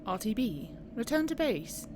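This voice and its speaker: A woman's voice: fairly high pitch, very animated. Woman acting formal female officer, noisy recording. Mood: businesslike, probing. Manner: official, measured interrogation. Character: formal, businesslike female review board officer. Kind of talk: scripted audio roleplay interview.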